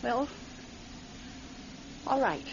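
An actress playing an anxious stranded woman in a radio drama, speaking in a naturalistic, hesitant, pleading way. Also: extremely slow. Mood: dejected, curt. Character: anxious stranded young woman, a secretive money courier. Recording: dull, noisy radio drama recording.

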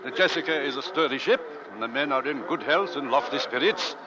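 An actor playing a hearty, assured nineteenth-century sea captain in old-time radio drama style. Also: male voice, medium pitch, fairly quick, natural voice. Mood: reassuring. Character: hearty, assured 1850s sea captain of an Arctic rescue ship. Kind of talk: 1940s-50s radio drama acting. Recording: muffled old radio recording, faint noise.

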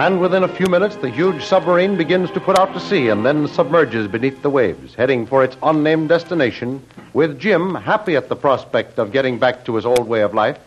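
A man narrates a classic radio serial. His pitch is a little high for a man. The old recording sounds muffled.

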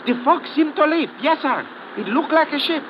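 Very high-pitched, excitable lookout, old radio. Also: male voice, normal speed. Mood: urgent. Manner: clipped, urgent, deferential. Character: excitable, deferential native lookout reporting to his officer. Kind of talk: 1950s radio drama acting. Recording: muffled, noisy old radio recording.

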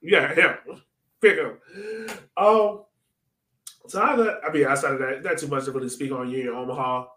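A man's voice: medium pitch, moderate pace, pauses often, sharp tone, echoey room. A man hosting a podcast, chatting casually about sports in an informal, slangy way. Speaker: podcast host.

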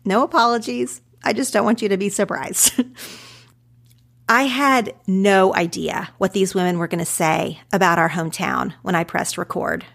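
A woman's voice: medium pitch, fairly even intonation, normal speed, vocal fry, a bit bright. A woman in her thirties with an Oklahoma accent, introducing her podcast episode in an earnest, reflective way. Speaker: podcast host. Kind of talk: podcast intro then conversation.

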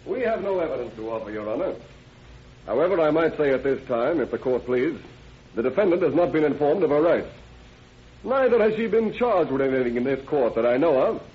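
A man's voice: on the high side, expressive, brisk, lightly acted. A man playing a pressing, formal defense attorney in an old-time radio drama. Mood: formal, objecting. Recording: muffled vintage radio recording.

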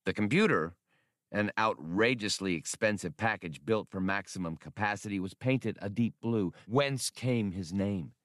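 A man narrates a novel as an audiobook. His voice is on the low side, and the sound is close and dry, with no room around it. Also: moderate pace, fairly bright tone, dry recording. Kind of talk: scripted fiction narration.

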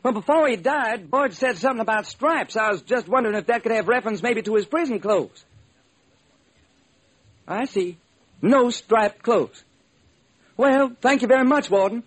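Old radio cop sidekick, eager, kinda high husky voice. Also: male voice, lively intonation, moderate pace, long pauses, full character voice. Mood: eager, businesslike. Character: eager, plodding male police lieutenant working a murder. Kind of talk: old-time radio crime drama acting.

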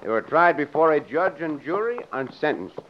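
A man's voice: a little high, very animated, moderate pace. A man playing a suave, daring adventurer of the Old West in a radio western, with a Mexican accent and formal, courtly, confident phrasing. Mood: friendly, patient. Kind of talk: 1940s-50s radio western acting. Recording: muffled, noisy old radio recording.

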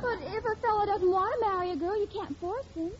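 Heavy noise and a muffled old radio sound surround an earnest teenage girl. Her voice is extremely high, and she speaks frankly in a gee-whiz sitcom manner. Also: fast, over a noisy crowd. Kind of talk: 1940s radio sitcom acting.

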